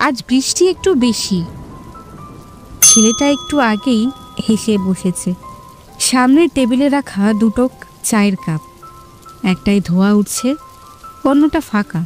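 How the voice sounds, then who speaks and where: A woman narrator reading a scripted romantic story in a poetic, measured way. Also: fairly high pitch, animated, a little mellow, faint music behind.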